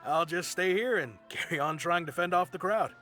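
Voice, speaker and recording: A man's voice: high voice, normal speed. An actor voicing an easygoing, friendly ship crewman in a video game who laughs often. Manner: relaxed, amused, laughs often. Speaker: sailor in a pirate fleet. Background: game music.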